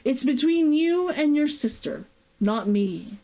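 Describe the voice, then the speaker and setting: Woman as storybook male healer, exasperated, firm, short-tempered, highly animated delivery. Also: slow pace, heavily acted. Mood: firm, annoyed.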